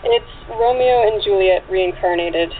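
A woman's voice: mid-range voice, unhurried. A woman guest chatting casually in an interview, talking in a chatty way peppered with "like" and "you know". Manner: chatty, frequent 'like' and 'you know'. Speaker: guest author and actress. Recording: tinny phone line with clear background noise.

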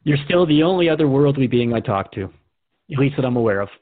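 A man's voice: mid-range voice, animated, fast, no echo. A male radio host in a dramatized dialogue, earnest and reflective as he questions his partner. His intonation moves up and down a lot. The sound is close and dry, slightly dull and lo-fi.

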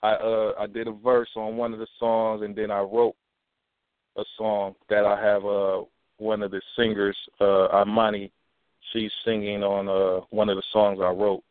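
A man's voice: fairly low pitch, clean tone, dry recording. Laid-back young man on a tinny line. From Milwaukee, USA. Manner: relaxed, halting with many restarts and "you know". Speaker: rapper and actor guest. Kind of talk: casual interview talk. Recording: tinny phone-like sound, dry.

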